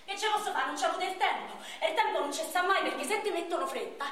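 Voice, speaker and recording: A woman's voice: very high-pitched, animated, fast talker, a bit bright. A woman on stage playing a wounded, pleading young man, with a Roman accent and raw, colloquial, repetitive speech. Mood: agitated, bitter. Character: wounded young man of thirty-one, pleading, wistful, foul-mouthed. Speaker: stage actor. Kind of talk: theatrical monologue in dialect. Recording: echoey theatre, distant microphone.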